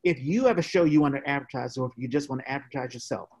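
A man hosting a podcast, reading out announcements in an informal, halting way. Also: mid-range voice, darkish tone. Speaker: podcast host. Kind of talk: casual podcast sign-off and announcements.

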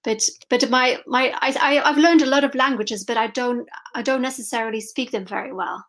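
A woman's voice: fairly high pitch, a little fast, a bit bright. A woman from Belgium, a children's book publisher, talking on a video call with many restarts and frequent laughs. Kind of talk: remote interview.

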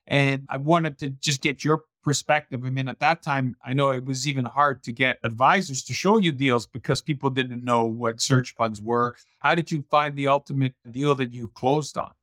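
A man hosting a podcast, framing a question with measured "I mean"s. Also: medium pitch, fairly even intonation, a bit bright, no room sound, studio quality. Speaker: podcast host. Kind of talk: interview questions.

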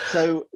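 A man interviewing a guest, asking halting questions with fillers and hesitations. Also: a little high, slightly rough, dry recording, low-quality recording.

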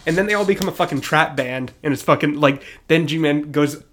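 A man's voice: on the high side, fairly quick, a bit bright, a little hiss or hum. A young man co-hosting a podcast, riffing comically in an irreverent, sweary, casual way. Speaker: podcast co-host.

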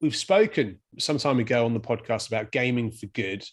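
A man hosting a podcast, reading a sponsor message and then asking halting, self-interrupting questions. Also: mid-range voice, animated. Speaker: podcast host. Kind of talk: scripted sponsor read, then casual interview.

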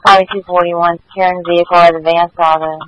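A man working as a police dispatcher, speaking in brisk, formulaic radio procedure with a businesslike manner. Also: high-pitched, little pitch movement, a bit bright, low-quality recording.

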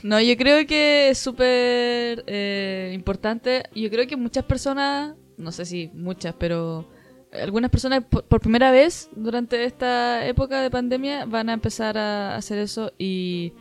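A woman's voice: fairly high pitch, unhurried, smooth, soft background music. A woman co-hosting a podcast, speaking hesitantly with many restarts. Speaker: podcast co-host. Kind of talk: casual chat.

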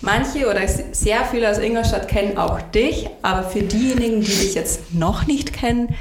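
A woman's voice: fairly high pitch, normal speed. A woman hosting a podcast in an office, speaking in an informal, complimentary, casual way. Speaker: podcast host. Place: office room. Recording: roomy echo, clear background noise.

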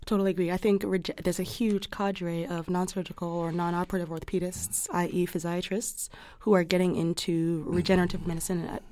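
A woman with a mellow, slightly low voice, recorded close and dry. She explains technical matters conversationally, choosing formal words in an articulate, measured way. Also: little pitch movement, dry recording. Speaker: physician interview guest.

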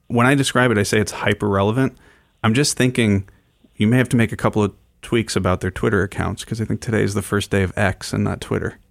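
Low-voiced man hosting a radio interview. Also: steady tone, quick pace, gravelly, no room sound, studio quality. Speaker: radio show host interviewing a guest.

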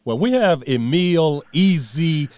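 Man, talk radio host, excitable, lots of fillers, dry studio sound. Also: on the high side, slow pace, dry recording. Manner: excitable, laughs a lot, many fillers.

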